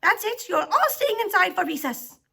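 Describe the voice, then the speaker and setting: A woman voicing a short-tempered, menacing female schoolteacher in a children's story, with a sharp, bossy, mocking delivery. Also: sits high, animated, fairly quick. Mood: furious, snapping.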